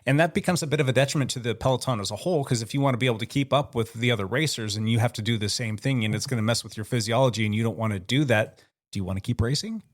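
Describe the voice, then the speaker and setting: A man talking quickly in an easygoing podcast chat. He hedges and loops around his point, often turning it into a question. His voice sits at an everyday middle pitch. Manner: thinks aloud, hedging, rambling questions. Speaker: podcast co-host. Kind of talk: casual roundtable chat.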